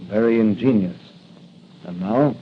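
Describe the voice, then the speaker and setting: A man's voice: lowish voice, unhurried, slight background noise, close to an everyday voice. Man playing resolute radio hero, dull old recording. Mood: wary, defiant. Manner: declamatory, resolute. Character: bold, earnest masked crime-fighting hero. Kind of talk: 1940s radio acting.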